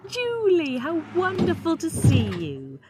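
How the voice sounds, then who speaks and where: A woman's voice: very high voice, wildly swooping pitch, slow, heavy background noise, full character voice. An actress playing an affectionate, fussing middle-aged mother in a radio play, eager and solicitous. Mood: delighted.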